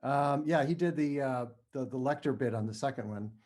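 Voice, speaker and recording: Guy being interviewed on a podcast, halting, casual, kinda modest, mid pitch. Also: darkish tone. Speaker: podcast guest, playwright and audio drama creator.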